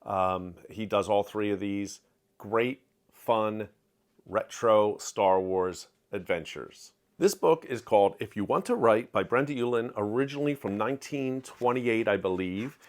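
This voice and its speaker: A middle-aged man giving a candid, opinionated book review in a home study, talking close to the camera with lively hand gestures. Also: on the low side, a little slow. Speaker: book vlogger. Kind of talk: casual reading wrap-up review. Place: home study with shelves of collectibles. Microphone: webcam framing, close to camera.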